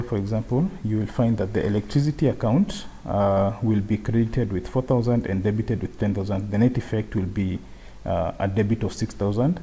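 A man with a low, mellow voice and a husky edge walks through a software tutorial. He explains each step in turn in an unscripted way, often circling back over the same phrases. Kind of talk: unscripted screen-share tutorial.

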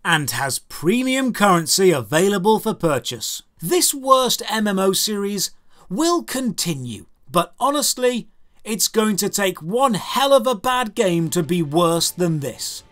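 A man's voice: high voice, big pitch swings, a little slow, fairly bright tone. A man in his thirties narrating a scripted game review as host, with an emphatic, exasperated, rhetorical delivery. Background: background music.

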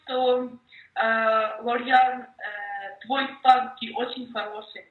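A young woman speaking slowly and clearly on a video call, encouraging her partner and supplying missing words. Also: on the high side, level tone, echoey room, phone-quality sound. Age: young adult. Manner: encouraging, prompts and corrects words. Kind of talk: slow, clear conversation practice. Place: home office indoors.

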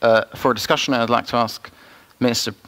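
A man's voice: medium pitch, fairly even intonation, fast talker, fairly bright tone, long reverb tail. A man in his thirties moderating a panel session, polite and a little hesitant as he hands the floor to speakers. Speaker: session facilitator. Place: conference room, standing at lectern.

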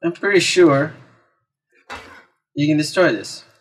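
A man's voice: fairly high pitch, takes it slowly. A man giving casual gameplay commentary, exclaiming and reacting as he talks to himself. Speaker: let's play gamer. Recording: game music behind the voice. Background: video game music.

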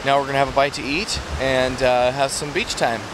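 A man's voice: medium pitch, steady tone, brisk, low-quality recording. A man in his thirties talking casually to a handheld camera outdoors as a travel vlog host. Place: outdoors at beach, street and trail. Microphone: handheld camera at arm's length. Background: music.

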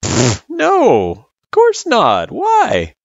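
A man's voice: medium pitch, huge pitch swings, a little slow, a little mellow, no echo, lo-fi sound, pitched above the performer's own voice. A man voicing a laid-back, teasing, reassuring buddy with a casual, joking delivery in a story reading. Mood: breezy. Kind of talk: character voice in story narration.

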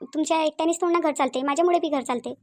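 A woman plays an indignant city wife and mother with a high voice and a Marathi accent of Vidarbha. This cartoon figure is bossy and stubborn, and she argues by firing repeated questions. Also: rapid-fire, a little mellow, lightly acted. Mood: argumentative. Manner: insistent, argumentative, repeats questions. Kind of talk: cartoon dialogue.

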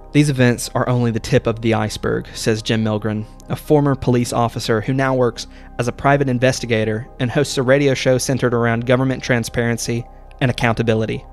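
A man with a medium-low voice narrates a horror recap as a video host. He tells it deliberately and with drama, like scripted storytelling, while music plays underneath. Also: steady tone. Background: background music.

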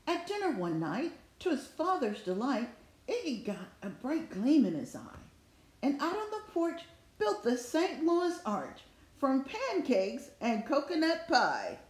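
High, lively woman reading to children. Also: a little slow. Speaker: storybook reader. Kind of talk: expressive children's read-aloud of rhyming story. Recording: roomy, echoing indoor sound.

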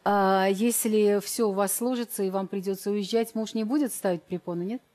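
A woman hosting a TV dating show, asking brisk, probing questions of the guests. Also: medium pitch, fairly even intonation, mellow. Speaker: TV show host. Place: TV studio with live audience.

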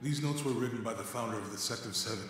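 An easygoing companion character for a video game. His delivery is casual and a little dry, at an average pitch. Light music runs underneath. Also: fast talker, a bit bright, husky. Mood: curious, wry. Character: loyal male companion, easygoing and wry. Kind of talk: conversational video game dialogue.

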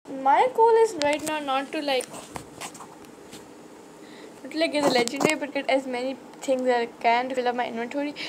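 A young woman with a high voice chats through her game with a sore throat, full of fillers and restarts. The recording is noisy, with a steady hiss behind her voice. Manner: rambling with many fillers and restarts. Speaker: gaming channel host. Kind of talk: casual gameplay commentary. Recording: noisy screen-recorded mic audio.

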